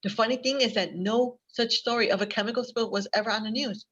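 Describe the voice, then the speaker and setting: A woman's voice: a little high, fast talker, a bit bright. A woman playing a friendly, helpful young librarian in a readers theater drama. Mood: chatty, confiding. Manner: helpful, chatty. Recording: slightly dull conference-call audio.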